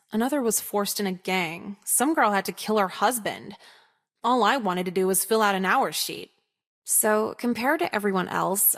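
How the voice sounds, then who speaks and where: A woman's voice: medium pitch, lightly acted. A woman acts out a callous American teenage girl in a visual novel. She speaks in a mid-range voice, casual and full of slang. Her delivery is sardonic and self-absorbed, like a confession with no remorse. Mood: sardonic, reflective. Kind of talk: visual novel voice acting, first-person monologue.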